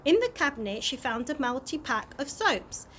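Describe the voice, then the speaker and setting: A woman narrating a children's chapter book aloud in an expressive storytime style. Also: high voice, moderate pace. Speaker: early years practitioner reading a story. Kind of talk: animated children's audiobook narration. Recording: clear background noise.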